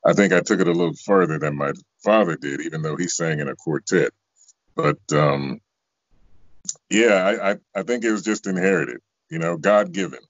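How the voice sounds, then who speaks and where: A middle-aged American man with a very deep bass voice, chatting casually as an interview guest on a video call. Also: moderate pace, no room sound. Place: small room at home, indoors. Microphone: video call, close to device. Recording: dull lo-fi video call audio.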